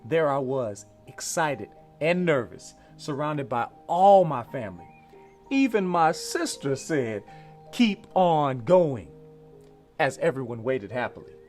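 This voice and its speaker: American male storyteller, encouraging and emphatic, very lively delivery over music, for a children's read-along. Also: medium pitch, measured pace. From Louisiana, USA. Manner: encouraging, emphatic, drawing listeners to join in.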